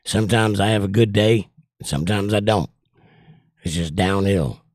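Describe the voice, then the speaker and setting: A man's voice: fairly low pitch, moderate pace, a little mellow, no echo, studio quality. Older man hosting a livestream chat, worn voice. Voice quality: voice worn from years of trouble. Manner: reads viewer comments aloud and answers them. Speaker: livestream host reading chat.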